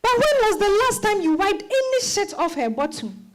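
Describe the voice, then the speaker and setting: A woman playing a sharp-tongued old grandmother with a Ghanaian accent in a dramatized reading, scolding imperiously with many interjections. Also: very high voice, sing-song, normal speed. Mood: scolding, exasperated.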